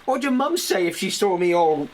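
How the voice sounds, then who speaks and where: A young servant in a radio drama. He is blunt, proud and scornful, talking in rough colloquial speech. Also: high voice, lively intonation, moderate pace, a little hiss or hum.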